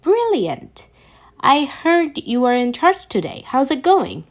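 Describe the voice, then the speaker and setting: A woman voicing a bright, brisk female intern supervisor in a fan fiction story, with a cheery, emphatic manner. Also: high-pitched, big pitch swings, pitched above the performer's own voice, exaggerated voice. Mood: cheerful.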